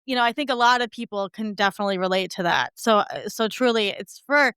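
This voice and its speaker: Bright, clear-voiced woman hosting a podcast. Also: medium pitch, fast, no room sound, studio quality. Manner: effusive, appreciative. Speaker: podcast host. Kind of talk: conversational interview.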